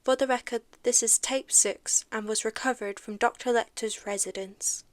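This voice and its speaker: Woman acting as female investigator, slightly high voice, formal, matter-of-fact, official case narration, audio drama. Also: steady tone, normal speed, natural voice. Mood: formal, detached.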